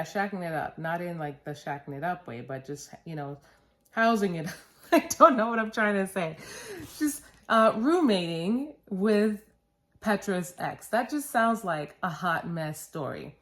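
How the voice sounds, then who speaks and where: A middle-aged woman vlogging about books in a casual, rambling way, correcting herself and laughing at her own words, with a stuffed-up nose. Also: lowish voice. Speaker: book vlogger. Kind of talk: casual chatty book review. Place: basement at home.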